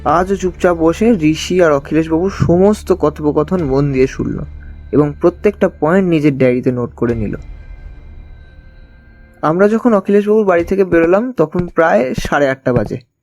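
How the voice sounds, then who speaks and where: A man telling a detective thriller in the first person, in an expressive, conversational storytelling style. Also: fairly high pitch, normal speed, faint music behind. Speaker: narrator of an audio story.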